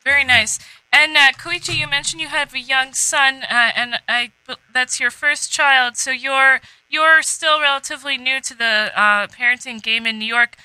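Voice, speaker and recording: A woman hosting a casual podcast chat, relaxed and full of fillers. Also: sits high, normal speed, clean tone, no room sound, poor audio. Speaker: podcast host.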